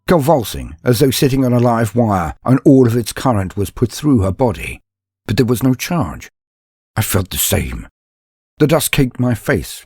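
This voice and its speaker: A man acts out an earnest, bulky young warrior-psyker telling his own story. His voice is low and husky, with dramatic swells and drops and solemn, formal, deliberate phrasing. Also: darkish tone, no echo, clean studio sound, heavily acted. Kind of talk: dramatic first-person story narration.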